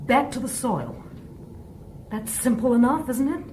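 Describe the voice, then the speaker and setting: A woman's voice: a little high, big pitch swings, measured pace, darkish tone, reverberant, slight background noise. A woman playing a defiant, sardonic revolutionary who scorns robots in a radio drama. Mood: scornful, defiant. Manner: mocking, sardonic.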